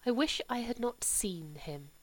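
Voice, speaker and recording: A woman voicing a gentle, sorrowful aristocratic older mother who speaks softly and slowly. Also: fairly high pitch, expressive, voice pushed up, rough recording. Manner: soft, slow and hushed.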